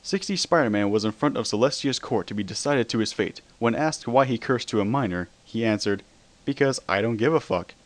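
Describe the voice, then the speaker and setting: A man's voice: fairly low pitch, expressive, a little fast, hardly any pauses. A man narrating a dramatic reading of comic fan fiction.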